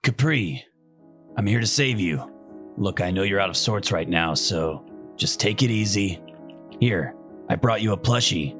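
A man voicing a brash male rescuer who is rough but caring, speaking bluntly and joking around. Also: fairly low pitch, lively intonation, measured pace, pauses often, a bit bright, soft background music. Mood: reassuring.

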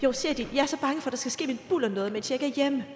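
An actress playing an indignant, sharp-witted parishioner in a comic radio drama. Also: female voice, sits high, slight background noise, lightly acted. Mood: worried, confiding. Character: indignant, sharp-witted married woman parishioner, devoted dog owner. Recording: echoey reverberant church sound.

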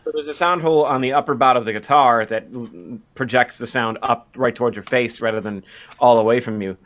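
Male podcast co-host, medium pitch, casual and conversational, halting delivery with frequent restarts. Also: steady tone, fairly bright tone.

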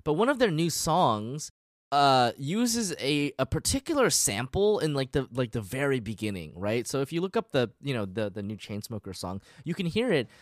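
Animated, rambling man podcast co-host. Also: medium pitch, normal speed, no echo, studio quality. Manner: rambling, hesitant explainer with many hedges. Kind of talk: casual podcast chat.

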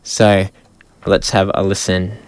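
A man's voice: sits low, flat delivery, slow, smooth, no echo. A male radio host asking questions in a friendly, slightly hesitant way in a studio interview. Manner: friendly, hesitant phrasing with fillers.

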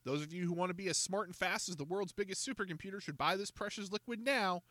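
A man voicing a slick teenage salesman who pitches his product like a showman. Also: quick pace, pushed and bright, no echo. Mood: showy.

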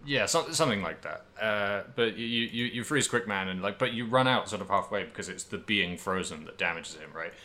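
A man's voice: lowish voice, brisk, hardly any pauses, sharp tone. A man co-hosting a podcast, talking casually about video games in a rambling way, as if thinking aloud. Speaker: podcast co-host. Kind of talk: casual video game discussion.

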